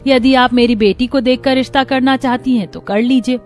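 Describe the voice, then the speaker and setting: A woman voicing a gentle poor old mother. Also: sits high, fairly even intonation, moderate pace, soft background music, lightly acted. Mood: caring. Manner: soft, caring.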